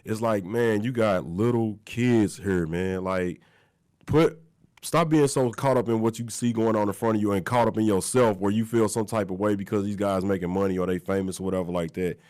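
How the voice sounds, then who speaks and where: A man's voice: fairly low pitch, fairly even intonation, moderate pace, a little mellow. A man co-hosting a sports talk show, sounding stuffy and nasal from a cold, emphatic and chatty. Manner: emphatic, repeats "you know what I'm saying".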